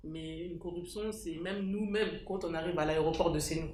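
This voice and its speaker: A woman's voice: on the low side, steady tone, moderate pace, a little mellow, reverberant, a little hiss or hum. A woman speaking up at an association meeting, emphatic and repeating her key points. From France. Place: meeting hall.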